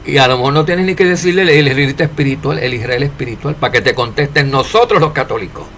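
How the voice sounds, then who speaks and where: A man preaching an informal sermon to his followers, asking rhetorical questions and swinging between loud and soft. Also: medium pitch, fast, a little hiss or hum. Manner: preachy, rhetorical questions, animated rises and drops in loudness.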